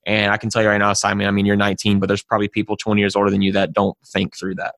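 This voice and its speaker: A man hosting an interview, asking questions and encouraging his guest in a measured way. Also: lowish voice, monotone, gravelly, a bit bright, no echo, studio quality. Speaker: podcast host.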